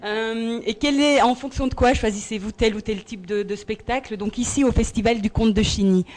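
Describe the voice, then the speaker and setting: A female radio interviewer with a fairly high voice who asks her questions politely. She nudges the conversation along with small fillers between them. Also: fairly even intonation, moderate pace, a little mellow.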